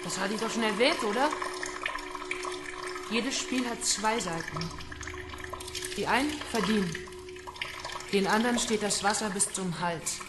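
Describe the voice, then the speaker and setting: A woman's voice: mid-range voice, measured pace, pauses often, very noisy, close to an everyday voice. An actress playing a cold, vengeful female kidnapper in a crime radio drama, mocking and menacing. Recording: echoey room.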